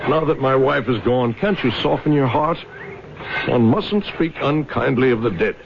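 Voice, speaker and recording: Man playing a gravelly, pleading widower. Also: mid-range voice, normal speed, lightly acted. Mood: grieving, pleading. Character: grieving widowed merchant, kindly and pleading. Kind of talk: radio drama acting. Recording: noisy, dull old radio broadcast.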